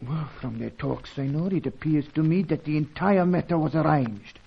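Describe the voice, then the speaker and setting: A humble, superstitious local guide from an old radio adventure. He tells things formally and haltingly, with solemn courtesy. The sound is dull and narrow. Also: on the high side, gravelly, slightly rough. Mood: confiding. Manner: formal, halting, florid storytelling. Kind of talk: old-fashioned radio serial acting. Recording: dull, band-limited old radio recording.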